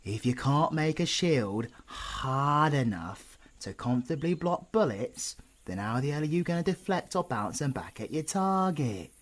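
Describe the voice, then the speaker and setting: A British man playing a cocky, irritable, teasing supervillain in an intimate audio roleplay, with a husky voice and gruff, sardonic delivery. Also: fairly high pitch, sing-song, a little slow, few pauses. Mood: brusque, instructing. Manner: gruff, sardonic, swearing banter.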